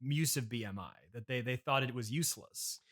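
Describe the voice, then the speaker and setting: A man hosting a comedy interview podcast, speaking in a polite, halting, puzzled deadpan with restarted sentences. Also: mid-range voice.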